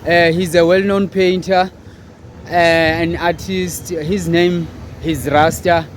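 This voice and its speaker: A man's voice: fairly high pitch, little pitch movement, slow. A man, hosting a casual interview and chiming in with friendly, brief affirmations. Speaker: interviewer, host. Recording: long reverb, faint noise, vehicles.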